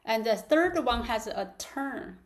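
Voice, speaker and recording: Very slow-talking woman teacher, halting. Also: a little high, expressive. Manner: halting, many fillers, laughs often; drills syllables aloud. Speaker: language teacher. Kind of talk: live online class. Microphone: video call.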